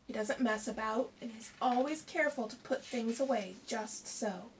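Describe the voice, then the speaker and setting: A woman's voice: fairly high pitch, moderate pace. A middle-aged woman reading a picture book aloud expressively to children, like a primary teacher. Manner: expressive, addressing children with questions. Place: small indoor room with shelves. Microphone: camera a short distance away. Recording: distant mic, faint background noise.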